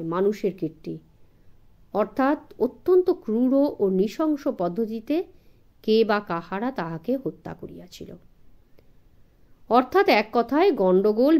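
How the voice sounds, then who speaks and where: A woman's voice: medium pitch, dark tone. A woman voicing a clever, confident male detective who explains deductions to his younger brother in an audio story. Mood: grave, deliberate. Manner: instructive, assured.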